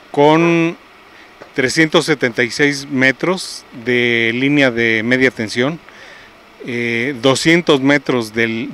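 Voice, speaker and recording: A middle-aged man with a Mexican accent giving a formal, halting statement as a municipal official. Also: mid-range voice, fairly bright tone. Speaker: municipal public lighting official. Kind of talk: interview statement. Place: outdoors by a roadside. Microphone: handheld reporter microphone. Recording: noisy outdoor field interview.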